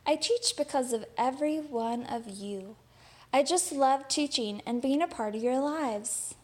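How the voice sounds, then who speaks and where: A woman voicing a caring female schoolteacher from a picture book, animated, emphatic and encouraging. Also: high-pitched, measured pace. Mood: fond. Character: caring woman schoolteacher who fondly recalls past pupils.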